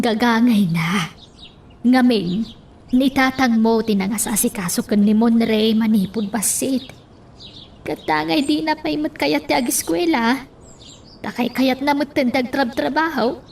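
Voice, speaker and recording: A woman with an Ilocano accent playing a patient, indulgent older woman with a husky voice in a radio drama. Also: high-pitched, measured pace, darkish tone, a little hiss or hum. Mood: calm, indulgent. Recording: slightly distant microphone.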